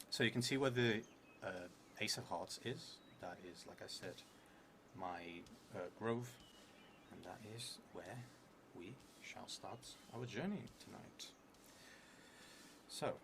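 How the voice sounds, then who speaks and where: Man, thirties, lowish voice, solo game streamer, unhurried, thinking aloud, murmured asides, noisy indoor recording. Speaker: solo tabletop role-playing game streamer. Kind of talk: narrating a solo card game on a live stream. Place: indoor room at a theatre, at a table. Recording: noisy room with steady background noise.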